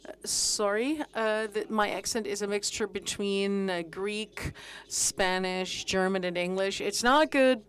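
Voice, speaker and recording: Slow, halting woman giving a talk. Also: mid-range voice. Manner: halting, with frequent pauses and uh fillers. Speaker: conference speaker on fitness training. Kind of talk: conference presentation.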